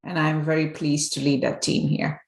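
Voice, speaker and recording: A woman's voice: low-pitched, little pitch movement, moderate pace, gravelly, roomy sound. A middle-aged woman from Belgium, a guest on an interview podcast, telling her story in casual chat with many fillers and restarts. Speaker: guest, marketing executive.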